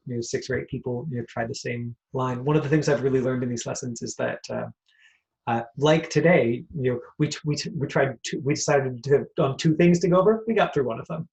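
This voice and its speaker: A man hosting an online class informally, haltingly repeating phrases as he goes. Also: mid-range voice. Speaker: host and acting teacher. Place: on a video call. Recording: dull video-call audio.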